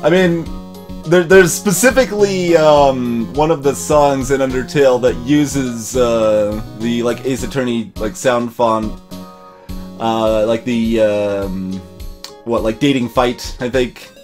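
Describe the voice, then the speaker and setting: A man hosting a let's play, giving casual, halting, amused commentary with music behind him. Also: mid-range voice, a little mellow. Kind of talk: casual gaming commentary with voiced dialogue reading.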